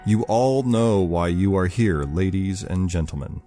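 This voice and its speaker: Grave, commanding leader, voiced by a male narrator: low-pitched, formal oratory over faint music. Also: measured pace, warm tone. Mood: solemn, resolute.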